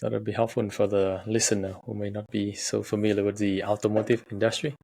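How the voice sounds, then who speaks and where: A man's voice: fairly low pitch, level tone, fast talker, vocal fry, a little mellow, husky. A man hosting a podcast interview, asking friendly questions and adding short encouraging remarks. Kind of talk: interview, casual chat.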